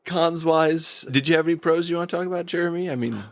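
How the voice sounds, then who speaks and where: A man with a creaky voice, casually reviewing a TV episode as a podcast co-host. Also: a little high, fast talker, no echo, clean studio sound.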